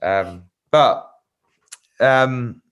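A man's voice: mid-range voice, drawn-out words, fairly bright tone, clean tone. A man speaking as the host of a casual interview, putting questions to a guest in conversational style.

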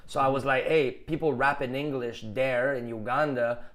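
A man's voice: medium pitch, steady tone, normal speed, a bit bright. A man from Quebec, a rapper with a Quebec French accent, talking in an interview and explaining at length in long, thoughtful runs. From Quebec, Canada. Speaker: rapper and musician guest. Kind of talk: interview conversation.

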